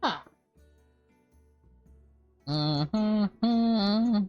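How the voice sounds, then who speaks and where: A woman's voice: mid-range voice, very slow, darkish tone, clear, resonant tone, no room sound, lo-fi sound, soft background music. A woman hosting a let's play, reading a visual novel aloud with chatty asides to viewers. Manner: chatty, addresses viewers directly.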